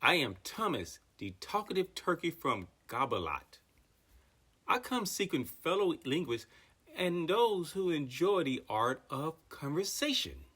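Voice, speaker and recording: Storybook turkey, male, pompous and wordy, low husky tone, a woman puts him on. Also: big pitch swings, measured pace, fairly bright tone, full character voice. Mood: grandly formal. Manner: grand, formal and long-winded. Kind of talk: storybook character voice.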